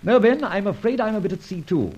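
A man acting a flippant, breezy gentleman adventurer in an old radio drama, bantering with polite little stammers. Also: high voice, animated, a little fast, husky, highs cut off. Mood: casual, bantering.

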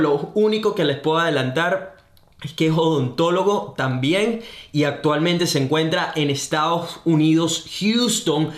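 A young Venezuelan man with a Venezuelan accent, hosting a podcast in an energetic, enthusiastic, slangy way. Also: high-pitched. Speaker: podcast host. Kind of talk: upbeat podcast intro and interview. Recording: roomy echo.

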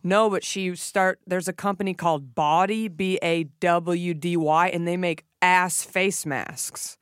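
A woman's voice: on the low side, steady tone, a little slow, clean tone, no echo. A woman with a Texan accent, a guest on a studio podcast, chatting in a brash, breezy, playful way. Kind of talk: casual chat.

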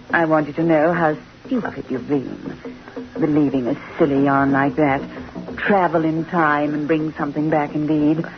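Slow, gloating vengeful wife. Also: female voice, low-pitched. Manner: gloating, menacing, deliberate. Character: vengeful scheming wife tormenting her paralysed husband. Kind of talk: old-time radio horror acting. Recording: dull vintage radio recording, music behind.

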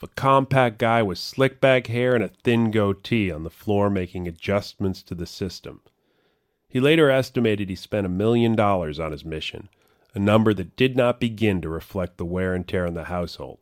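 A man's voice: lowish voice, normal speed, dry recording, clean studio sound. A man reading a scripted, narrative feature story as a reporter and narrator.

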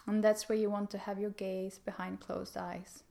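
A woman leading a guided meditation in a calm, gentle, unhurried manner with pauses. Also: mid-range voice, vocal fry.

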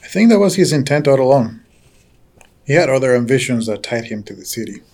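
Audio drama role: older male farm patriarch, folksy storyteller, courteous, gently probing, medium pitch. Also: big pitch swings, brisk. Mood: fond, reminiscing. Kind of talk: naturalistic audio drama dialogue.

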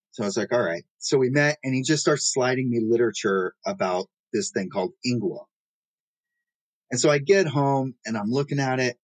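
A man's voice: medium pitch, dry recording, poor audio. Guy founder rambling thru his career story, lots of you knows, dead dry mic. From Pacific Northwest. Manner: rambling, self-deprecating, many fillers and restarts. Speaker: startup founder and CEO. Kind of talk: casual interview storytelling. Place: New York City.

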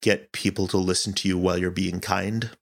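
A man's voice: low-pitched, flat delivery, a little fast, creaky. A man answering interview questions as an audio director, speaking earnestly and thoughtfully, often searching for his words.

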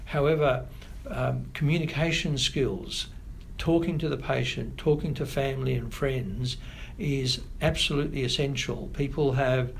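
A middle-aged Australian man, a family doctor, answering interview questions in a measured, thoughtful way with frequent pauses. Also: medium pitch, fairly even intonation, creaky, a little hiss or hum, low-quality recording. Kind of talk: podcast interview.

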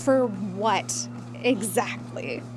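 A woman's voice: medium pitch, drawn-out words, over music. A woman playing a spoiled, condescending actress in her early thirties in a naturalistic audio drama. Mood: curt, haughty.

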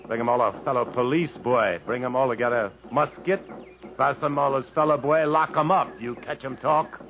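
An actor playing a resolute, commanding adventurer hero in a 1950s radio drama, speaking earnestly and in a clipped way. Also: male voice, mid-range voice, normal speed, slight background noise, natural voice. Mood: firm bargaining. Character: resolute adventurer hero fleeing through jungle, also narrating. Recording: muffled old radio broadcast with music.

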